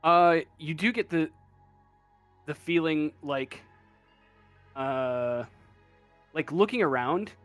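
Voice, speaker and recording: A man's voice: fairly high pitch, slow pace, pauses often, fairly bright tone, no echo, faint music behind. A man improvising tabletop game narration as game master, hesitant and often searching for words.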